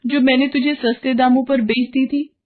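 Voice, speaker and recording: A woman's voice: high voice, fairly even intonation, normal speed, phone-quality sound, pitched above the performer's own voice, close to an everyday voice. A woman voicing a chatty, tactless female friend in a cartoon story. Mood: gushing.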